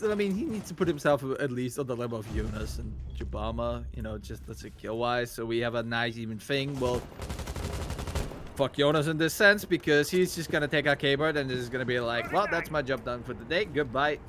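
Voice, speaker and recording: An Austrian man, a native German speaker, co-casting a live esports match in English, casual and profane, rising into loud hype calls. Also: medium pitch, fairly quick, background noise. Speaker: esports co-caster. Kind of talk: live game commentary.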